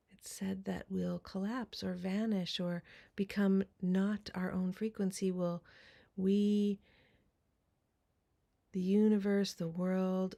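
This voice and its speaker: A woman leading a guided meditation in a soft, breathy, deliberate voice with long pauses. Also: mid-range voice.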